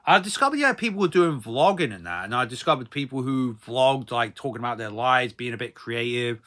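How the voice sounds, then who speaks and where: A man's voice: medium pitch, big pitch swings, fairly quick, a bit bright. A man in his thirties telling a casual, rambling personal story as a vlogger, hesitant and repetitive, often tailing off into tag phrases.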